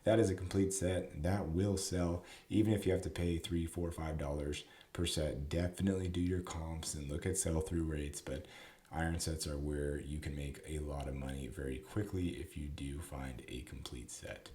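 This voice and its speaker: A slightly hoarse man, who is sick and losing his voice, recapping his golf club sales in a chatty way. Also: very deep voice, fairly even intonation, normal speed, dark tone. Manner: chatty, explanatory, with frequent fillers. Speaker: golf club reseller and vlogger. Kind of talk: casual to-camera sales recap. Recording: roomy echo.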